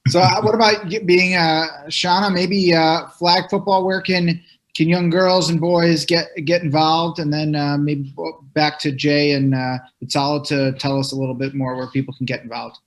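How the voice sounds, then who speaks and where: A man moderating a panel on a video call, with a voice a bit higher than most men's. He speaks haltingly, with lots of fillers. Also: level tone.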